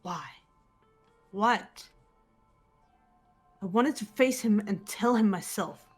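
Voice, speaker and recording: A woman voicing a composed, guarded teenage girl detective in an amateur game dub, measured and restrained. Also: mid-range voice, husky, soft background music. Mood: hurt, bitter. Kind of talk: amateur voice acting in a visual novel playthrough.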